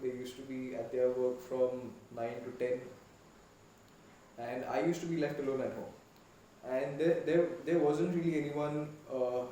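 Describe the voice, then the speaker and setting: A man's voice: medium pitch, dark tone. A young man from Goa with an Indian English accent, talking reflectively and rambling a little in a casual podcast chat. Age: young adult. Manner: reflective, rambling with many restarts. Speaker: podcast guest. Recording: echoey room, distant mic, faint noise.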